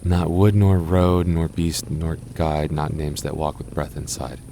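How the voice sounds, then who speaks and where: A man voicing a gentle, shy goth boyfriend in an ASMR roleplay, soft-spoken and stammering as he reassures his listener. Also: extremely low pitch, level tone, unhurried, a little hiss or hum. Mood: puzzling. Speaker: voice actor.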